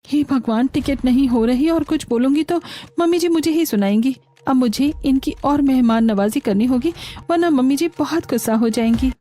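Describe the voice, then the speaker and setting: Woman as a meek, high-voiced young daughter-in-law. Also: normal speed, mellow. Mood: worried. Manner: meek, polite. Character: dutiful, put-upon young daughter-in-law, polite and meek.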